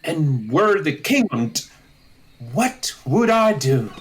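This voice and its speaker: Man playing a slow, swooping kindly old counsellor. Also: on the high side, sing-song, low-quality recording. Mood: visionary, expansive. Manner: declamatory, deliberate theatrical delivery. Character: kindly, talkative old counsellor, idealistic and dignified. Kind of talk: live audio drama Shakespeare acting.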